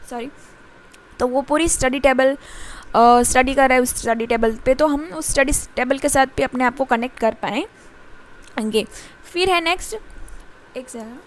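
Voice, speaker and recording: A woman teaching a commerce class in Hindi, reading definitions slowly and pausing often with fillers. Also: high voice. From India. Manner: explains in Hindi, reads definitions slowly in English, frequent fillers and ठीक है. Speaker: commerce lecturer. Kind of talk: classroom lecture.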